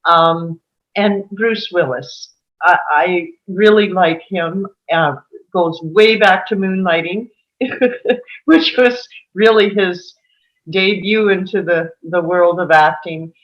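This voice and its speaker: A woman with a fairly low voice who speaks very slowly, dropping soft laughs between her phrases. Her sound is dull and lo-fi, as if it comes through a cheap mic. She is on a video call. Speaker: table read participant. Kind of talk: casual panel discussion. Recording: muffled lo-fi mic.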